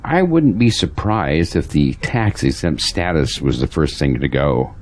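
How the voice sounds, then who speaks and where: A man's voice: a bass voice, lively intonation, unhurried, full character voice. An actor playing a resigned, pragmatic churchgoing man in an audio drama, talking in a matter-of-fact, pondering way. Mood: grave, resigned.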